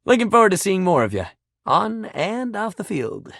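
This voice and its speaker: An actor playing a sports-obsessed, warm-hearted young jock pal in a video game, with exuberant, slangy bro talk. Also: male voice, sits high, sing-song, normal speed, gravelly, no room sound, clean studio sound, exaggerated voice. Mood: friendly, cheerful. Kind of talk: video game character acting.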